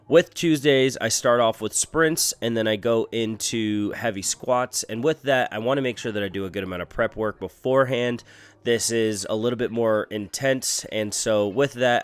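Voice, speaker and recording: A man's voice: medium pitch, clear, resonant tone. A young man vlogging casually with a stuffy, congested nose, upbeat and rambling with fillers. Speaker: fitness vlogger and trainer. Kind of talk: casual vlog and voice-over narration. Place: in a car.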